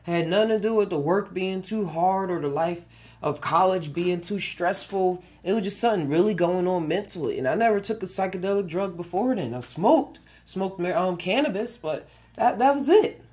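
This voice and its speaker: A man's voice: sits high, moderate pace, a little mellow. A man in his twenties hosting a casual solo podcast, rambling with frequent chuckles and restarted sentences. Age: 20s. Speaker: podcast host.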